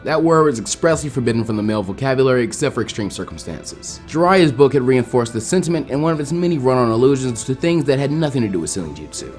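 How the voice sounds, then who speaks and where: A man narrates a written story in an expressive style. He moves along at a brisk pace with a lot of rise and fall in his mid-range voice. Light music plays behind him. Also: medium pitch. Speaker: fan fiction narrator.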